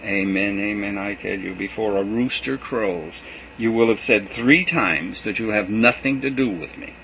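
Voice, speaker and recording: A man voicing Jesus as a gentle teacher saying farewell to his students, earnest and measured. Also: on the low side, slight background noise, low-quality recording. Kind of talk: reading a gospel aloud.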